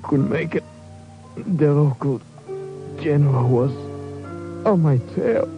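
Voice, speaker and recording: Dying tough soldier, very slow halting delivery, weak, wry slang, old radio drama, muffled recording over music. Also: male voice, on the high side. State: weak, fading, mortally wounded. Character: dying American soldier, wry, weary undercover hero. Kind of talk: 1950s radio drama acting.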